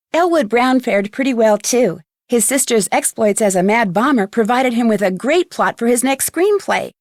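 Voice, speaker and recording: A woman plays a teenage girl sleuth with an American accent in a mystery game. Her voice sits fairly high and is recorded dry and close. She recounts a solved case in a wry, chatty storytelling way. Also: no room sound, natural voice. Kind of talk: scripted game epilogue narration.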